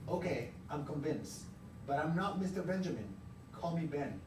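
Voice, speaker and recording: A man plays a brisk, easygoing storybook boss who gives orders casually and directly. The voice is slightly high, distant and echoey. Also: animated, natural voice. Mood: agreeable. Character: easygoing, brisk male employer hiring day labourers. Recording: roomy, noisy classroom, recorded from afar.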